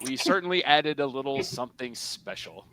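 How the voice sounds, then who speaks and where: A man's voice: mid-range voice, lively intonation, moderate pace, a crowd in the background, rough recording. A man taking part in a live script reading, reciting and chatting casually. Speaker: panelist and reader in a table read. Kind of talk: spoken song lyrics and casual banter.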